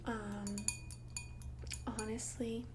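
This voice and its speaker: A woman doing soft-spoken ASMR roleplay as a shy, polite vampire, in a breathy, intimate whisper with gentle hesitation. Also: a little high, little pitch movement, very slow, noisy background. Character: shy, polite thousand-year-old female vampire asking someone out.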